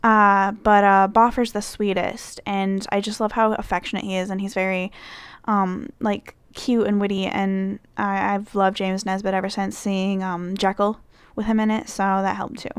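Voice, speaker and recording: A woman's voice: medium pitch, flat delivery, normal speed, smooth, no echo. Chatty Midwestern young woman, dry close sound. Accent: Midwestern American. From Michigan, United States. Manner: chatty, rambling, lots of fillers and self-corrections. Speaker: vlogger. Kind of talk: casual answers to a fan questionnaire.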